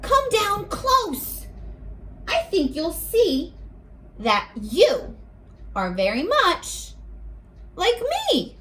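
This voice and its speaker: A tiny storybook ant acted out by a woman, high-pitched and earnest. It pleads slowly, stretching its words in a small, whimpering voice that swings up and down. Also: big pitch swings, fairly bright tone, full character voice. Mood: earnest, reasoning. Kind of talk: picture book read-aloud for young children.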